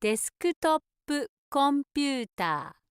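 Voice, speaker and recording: A woman speaking for a language course, pronouncing each word slowly and carefully as a drill. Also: high-pitched, very animated, dry recording, clean studio sound. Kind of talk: clear word-by-word pronunciation drill.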